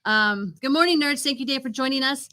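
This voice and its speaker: A woman's voice: high-pitched, a little fast, bright, forceful tone. A woman co-hosting a TV review show, chatting casually and unscripted in an opinionated, emphatic way. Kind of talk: casual unscripted chat, presenting a ranked list.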